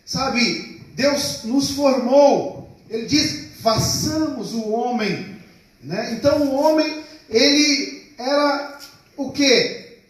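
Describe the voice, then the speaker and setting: High-voiced man preaching in an echoey hall. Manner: call-and-response preaching, stretches key words slowly. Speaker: pastor. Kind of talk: sermon. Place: church hall. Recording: echoey hall, mic far off.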